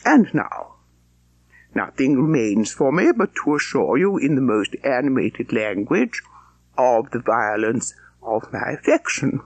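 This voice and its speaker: Man playing smug clergyman suitor, audiobook character, pompous, obsequious, ponderous, very animated pitch, dry close sound. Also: unhurried, dry recording, poor audio. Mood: pompous, self-satisfied. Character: pompous, self-satisfied clergyman suitor, smugly formal.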